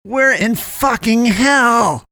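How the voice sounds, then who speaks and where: A man's voice: fairly high pitch, fast talker, no echo, voice pushed up, lightly acted. A man voicing an angry, distressed young woman in a story, with a snappy, whiny, emphatic delivery. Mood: sarcastic, angry.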